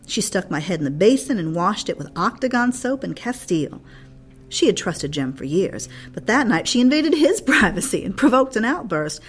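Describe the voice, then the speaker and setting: A woman narrating a novel as a read-along, in an expressive storytelling style. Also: medium pitch, breathy edge.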